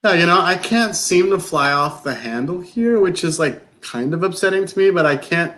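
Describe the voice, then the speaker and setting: A man playing a frustrated, incredulous novice farmer in a live stage play reading, with halting, colloquial delivery. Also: high voice, expressive, fairly bright tone. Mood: forced calm, exasperated.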